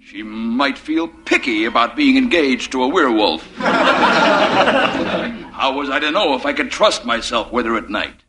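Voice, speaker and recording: A man's voice: mid-range voice, very animated, measured pace, music in the background. An actor playing a lovesick, anxious werewolf posing as an office man, in melodramatic 1950s radio comedy style. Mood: confessional, wry.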